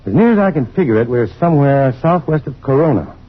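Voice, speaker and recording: An American actor playing a calm, reassuring, educated scientist hero in an old-time radio drama. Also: male voice, medium pitch, fast, slightly rough. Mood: brisk, easygoing. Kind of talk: 1950s radio drama acting. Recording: dull, lo-fi old radio, faint noise.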